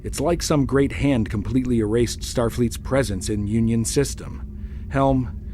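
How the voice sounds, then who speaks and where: A man playing a brisk, wry starship captain in a science fiction audio drama. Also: medium pitch, dark tone, slight background noise, lightly acted. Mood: wry, puzzled.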